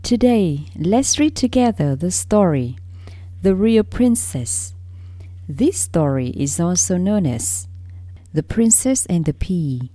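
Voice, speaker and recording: A woman narrates a storybook in a slow, measured read-along style. Her voice sits a little low and has a soft, mellow tone. She phrases each piece carefully, pausing often. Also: animated, no room sound. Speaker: storyteller reading a fairy tale aloud for English learners.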